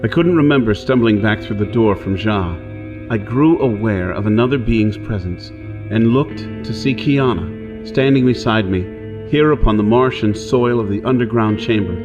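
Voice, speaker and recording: A man's voice: fairly low pitch, normal speed, highs cut off, close to an everyday voice. Man acting an earnest pulp space adventurer over music. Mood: tense, reflective. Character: weary, lovestruck outlaw adventurer from Earth on Mars. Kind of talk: first-person pulp radio drama narration and dialogue.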